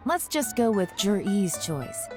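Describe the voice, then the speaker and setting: An actress playing a cool, shrewd, composed woman investigator in a video game, speaking in a measured, deliberate way. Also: mid-range voice, big pitch swings. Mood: smooth, casual. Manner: measured, smooth-talking, deliberate. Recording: soft music behind the voice.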